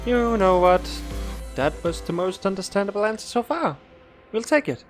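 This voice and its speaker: A woman's voice: mid-range voice, moderate pace, faint music behind, lightly acted. A woman playing a polite, encouraging school interviewer in an audio drama, formal yet reassuring. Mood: amused, brisk. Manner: formal but reassuring, expressive.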